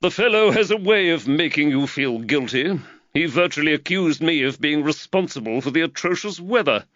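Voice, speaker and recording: A man voicing an earnest middle-aged London magistrate who lectures with energy, higher and louder than his own voice. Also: moderate pace, dry recording, muffled recording. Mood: rueful. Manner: lively, preachy, warms to his theme.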